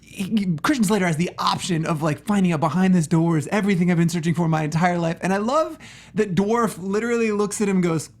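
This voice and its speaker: Panel co-host, medium-pitched voice, animated and joking, loose conversational banter. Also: quick pace. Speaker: co-host of a movie review show.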